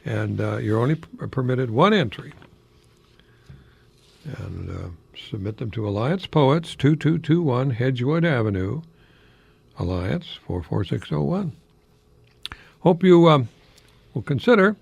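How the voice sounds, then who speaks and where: An older American man talks informally as a radio host, his voice creaky with vocal fry. He rambles along hesitantly and drops in small chuckling asides. The sound is dry and close, with hardly any room on it. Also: mid-range voice, very animated, measured pace, long pauses, a little mellow, no room sound. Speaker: radio host, college professor.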